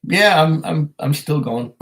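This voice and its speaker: A man with a hoarse voice from a cold, hosting a casual live stream, reading viewer comments and chatting in a rambling, hesitant way. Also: on the high side, lively intonation, takes it slowly, fairly bright tone. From Canada. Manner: rambling, hesitant, chuckles often. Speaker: whiskey channel host reading live chat.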